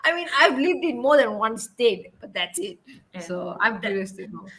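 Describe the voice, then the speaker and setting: A woman's voice: on the high side, sing-song, moderate pace, a bit bright, low-quality recording. A young woman hosting an online interview in an upbeat, chatty way. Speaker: host.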